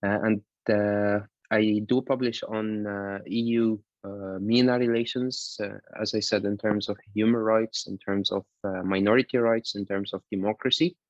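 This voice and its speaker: A man with a Lebanese accent, an academic introducing himself on a panel over a video call in halting phrases. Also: on the low side, fairly even intonation, no room sound. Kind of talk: informal self-introduction on a panel. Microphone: online video call. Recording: dull lo-fi online call audio.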